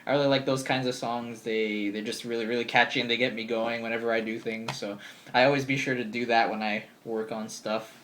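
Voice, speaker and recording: A man's voice: mid-range voice, little pitch movement, fairly quick, fairly bright tone, a little hiss or hum. A man of twenty answering viewer questions in a casual vlog, chatty and upbeat, full of ums and likes. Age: 20s. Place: bedroom with game shelves.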